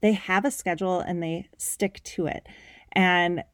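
A woman's voice: on the low side, fairly even intonation, a little slow, dry recording, clean studio sound. A woman in her thirties hosting a podcast, giving an upbeat, emphatic motivational talk in a conversational way. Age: 30s. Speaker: podcast host.

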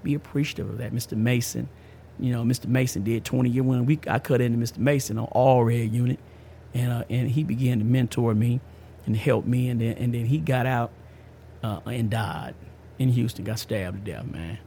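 A man's voice: medium pitch, brisk, gravelly, mellow, a little hiss or hum. A man in his fifties hosting a podcast, giving a casual personal testimony in an emphatic, slangy way, often repeating himself. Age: 50s. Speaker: podcast host.

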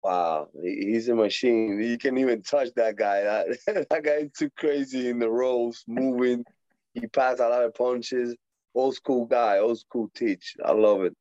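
A man in his forties from Puerto Rico, with a Puerto Rican Spanish accent, speaking as a guest in a casual interview, animated, laughing often, with halting English. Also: medium pitch, no room sound, muffled recording. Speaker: boxer guest.

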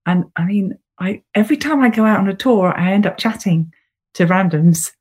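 Woman, tour guide, a bit breathy, friendly, restarts a lot. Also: mid-range voice, moderate pace, a little mellow. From Britain. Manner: friendly, laughing easily, hesitant restarts. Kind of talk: casual guest chat on a video call. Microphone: video call.

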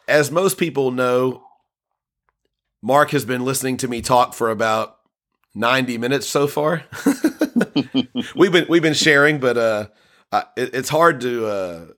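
A man's voice: medium pitch, fairly bright tone. Chatty man hosting a show. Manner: rambling, chatty, restarts a lot. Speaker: podcast host. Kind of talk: casual chat.

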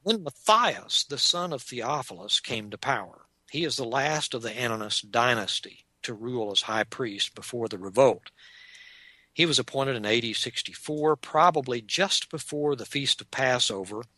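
A man with a Texan accent giving an unscripted lecture on church history, measured and explanatory, often pausing on "uh". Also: medium pitch, lively intonation, bright, forceful tone, no room sound. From Texas. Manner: measured and explanatory, with frequent "uh" pauses. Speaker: Bible teacher.

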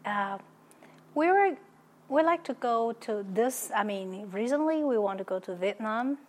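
A woman's voice: fairly high pitch, expressive, measured pace, darkish tone. Woman, Taiwanese accent, simple English, cheerful, interview guest. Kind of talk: casual interview answers.

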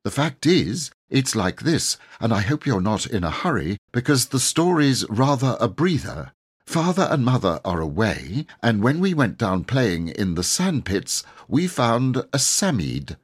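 A man's voice: mid-range voice, very animated, unhurried, husky, pitched above the performer's own voice. A man voicing an earnest, flustered young boy who stumbles and corrects himself politely in a storybook reading. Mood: earnest explaining. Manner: stumbling, self-correcting, polite schoolboy chatter.

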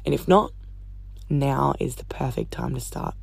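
A woman hosting a casual solo podcast, chatty and upbeat, swearing casually, her voice croaky from talking all day. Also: extremely low pitch, animated, measured pace, a little mellow, no room sound. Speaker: podcast host.

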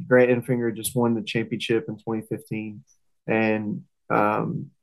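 A man's voice: mid-range voice, creaky, rough recording. A young man with a Southern US accent, talking casually and hesitantly as a guest in an interview. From southern United States. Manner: rambling, hesitant, informal. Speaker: race car driver and interview guest. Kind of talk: casual interview talk, recounting career.

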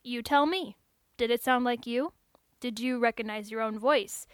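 A woman's voice: fairly high pitch, moderate pace, dry recording, clean studio sound, close to an everyday voice. Woman voicing a chatty young male technician. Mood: musing. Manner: eager, rambling, slightly awkward. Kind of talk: audiobook character dialogue.

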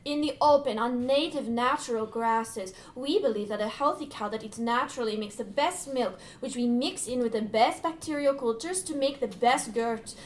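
A humble, sincere yogurt maker acted by a woman with a high voice. She speaks formally and pleadingly, as if presenting to royalty in a comedy table read. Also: lively intonation, moderate pace. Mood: proud, sincere.

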